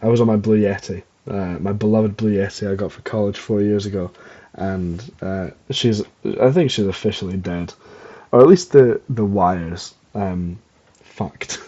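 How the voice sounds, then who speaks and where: A man's voice: lowish voice, measured pace, dark tone, lo-fi sound. A young man with a Scottish accent rambling casually and self-deprecatingly as he hosts a solo podcast. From Scotland. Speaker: podcast host.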